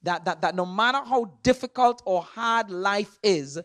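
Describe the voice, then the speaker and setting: A man from Trinidad with a Trinidadian accent, preaching in a church. He stresses words hard, repeats himself and swings between loud and soft. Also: sits high, unhurried, no echo, clean studio sound. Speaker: guest preacher. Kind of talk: sermon. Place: church sanctuary.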